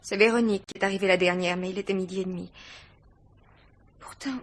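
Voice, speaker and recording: A woman's voice: mid-range voice, steady tone, fairly quick, close to an everyday voice. A woman acting a troubled young fiancée in a French radio mystery play, confiding in an earnest, dramatic way. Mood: insistent.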